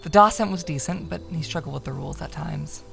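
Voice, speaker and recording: A woman streamer reading a visual novel aloud as narration, expressive, sometimes stumbling and correcting herself. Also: low voice, quick pace, gravelly, faint music behind.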